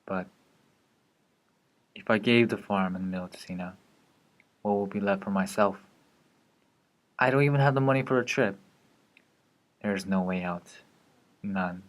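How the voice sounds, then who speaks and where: A fairly low voice, a man acting a weary young husband who feels trapped. He delivers a dramatic monologue in a brooding, deliberate way, bitter and despairing.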